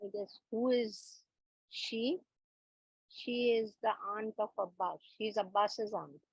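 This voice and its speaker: A woman student on a video call carefully reading a sentence and translating it, soft-spoken. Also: mid-range voice, takes it slowly, vocal fry, husky, rough recording. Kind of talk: reading aloud in class.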